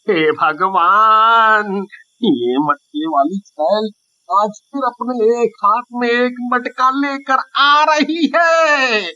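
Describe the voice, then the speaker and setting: A man's voice: sits high, extremely slow, pushed and bright, full character voice. A man playing a frightened, pleading village merchant in a cartoon moral story, dramatic in delivery. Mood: panicked. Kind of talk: animated Hindi moral story acting. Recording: dull lo-fi audio.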